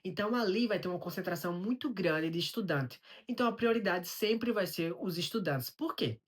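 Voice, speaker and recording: Low-voiced Brazilian woman vlogging. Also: animated, brisk, breathy edge. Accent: Brazilian Portuguese. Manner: explanatory, poses rhetorical questions and answers them. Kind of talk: personal storytelling vlog.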